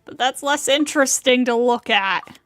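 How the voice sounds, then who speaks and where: A woman's voice: sits high, normal speed, a bit bright, dry recording, soft background music. A woman giving casual livestream commentary as she plays a video game. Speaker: game streamer.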